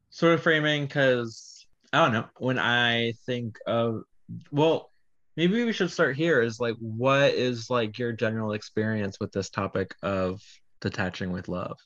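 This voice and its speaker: Podcast host, mid pitch, giggly and rambling, dull lo-fi mic. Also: a little slow, a bit bright. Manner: laughs often, rambling, self-correcting. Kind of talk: casual chat.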